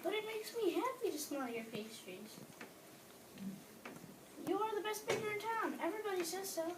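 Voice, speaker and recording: A woman's voice: very high-pitched, expressive, moderate pace. A young woman playing a friendly, dreamy man in an amateur readers theater play. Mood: cheerful. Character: friendly, dreamy man who savors bakery smells. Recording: echoey noisy room, distant microphone.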